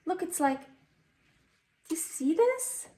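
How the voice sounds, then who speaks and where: High-voiced Swiss woman, roomy kitchen recording. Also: very animated, takes it slowly, warm tone. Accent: Swiss-accented Californian English. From Switzerland. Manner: rambling, self-deprecating, exclaiming as things go wrong. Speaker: cooking vlog host. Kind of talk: casual unscripted kitchen chat while cooking. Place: home kitchen. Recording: roomy, distant mic, faint noise.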